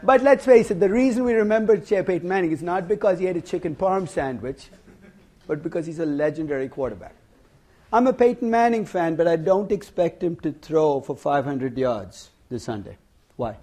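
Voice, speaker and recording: A middle-aged man lecturing a class on finance, husky from losing his voice, wry and conversational, putting questions to his students. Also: fairly high pitch, expressive, moderate pace, mellow. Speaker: finance professor. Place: lecture hall.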